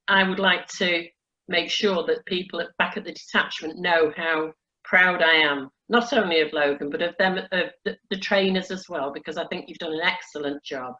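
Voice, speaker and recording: Effusive low-voiced woman on dull audio. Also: a bit bright. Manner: effusive, encouraging. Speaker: honorary colonel hosting a presentation. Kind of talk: warm congratulatory ceremonial chat.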